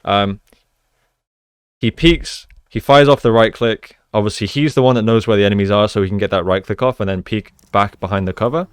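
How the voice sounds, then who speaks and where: Male game coach, smooth lowish voice, explanatory and conversational, talking through gameplay analysis. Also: fairly quick, dry recording, studio quality. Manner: explanatory, conversational, often checks with "right?".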